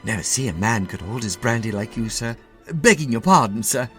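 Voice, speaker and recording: A man's voice: medium pitch, expressive, brisk, fairly bright tone, soft background music, pitched above the performer's own voice, close to an everyday voice. A man voicing an anxious, deferential army sergeant in a story reading, urgent and respectful. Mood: anxious, admiring.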